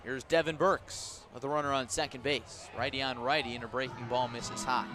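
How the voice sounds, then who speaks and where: A man doing live radio play-by-play of a baseball game, descriptive and unhurried between pitches and punchier on the action. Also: steady tone, over music. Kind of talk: live radio sports commentary.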